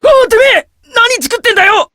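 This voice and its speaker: A man's voice: extremely high pitch, expressive, rapid-fire, sharp tone, no echo, clean studio sound, heavily acted. A man voicing a gullible, melodramatic teenage schoolboy as the comic sidekick in a Japanese visual novel. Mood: frantic outrage. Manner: melodramatic, swinging from shrieks to mournful murmurs.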